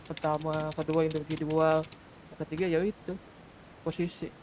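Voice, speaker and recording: Casting: male gamer, slightly high voice, casual chat, clear noise and key clicks. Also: completely flat delivery, moderate pace, a little mellow. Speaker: gamer in a roleplay crew. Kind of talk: casual gaming group chat. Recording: noisy voice chat with keyboard clicks.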